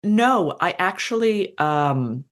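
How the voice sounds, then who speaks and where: A woman's voice: lowish voice, lively intonation, slow pace. A woman guest author chatting on a podcast, self-deprecating and full of fillers. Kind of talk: casual podcast interview.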